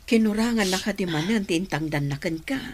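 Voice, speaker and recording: A woman's voice: mid-range voice, lively intonation, a little fast, natural voice. A woman playing a worried, plain-spoken mother from a poor rural household in a radio drama. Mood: aggrieved.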